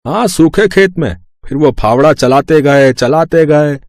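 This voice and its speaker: A man playing a gentle village father who talks patiently and fondly, like telling family history, in a storybook tale. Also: fairly high pitch, measured pace, dry recording, clean studio sound. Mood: fond, reflective. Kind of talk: storybook character acting.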